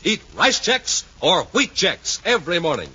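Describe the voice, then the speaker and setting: Muffled old radio, American male announcer, forceful bright voice, dramatic, punchy emphasis. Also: high-pitched, wildly swooping pitch, measured pace, breathy edge. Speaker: announcer and narrator. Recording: muffled, faintly noisy 1950s radio recording.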